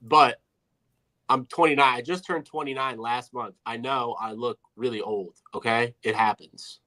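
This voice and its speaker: Bright-voiced wry young co-host. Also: male voice, mid-range voice, steady tone, unhurried, poor audio. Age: 20s. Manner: self-deprecating, wry humor. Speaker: podcast co-host. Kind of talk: casual betting podcast chat.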